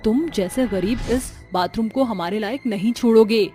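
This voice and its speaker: A woman's voice: fairly high pitch, normal speed, mellow, faint music behind, natural voice. A woman voicing a haughty, indignant young rich daughter-in-law in a cartoon story, scornful and complaining.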